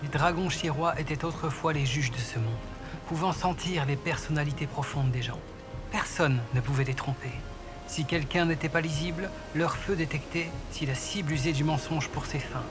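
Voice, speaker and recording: A man narrates a fantasy audio drama from a script. His voice sits a little higher than usual for a man, and loud music plays under it throughout. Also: normal speed.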